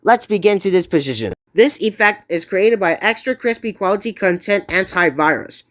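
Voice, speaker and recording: A woman narrating a step-by-step software tutorial. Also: medium pitch, expressive, moderate pace.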